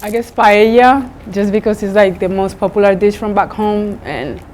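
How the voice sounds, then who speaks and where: A woman's voice: medium pitch, normal speed, heavy background noise. Young non-native English speaker, a Spanish woman. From Spain. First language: not English. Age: early 20s. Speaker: student basketball player. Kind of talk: casual interview answers.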